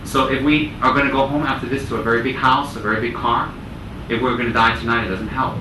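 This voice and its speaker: A man giving a Buddhist teaching talk to a group, speaking deliberately and repeating phrases for emphasis. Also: medium pitch, fairly even intonation. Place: indoor room with listeners. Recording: roomy, distant mic, clear air-conditioning noise.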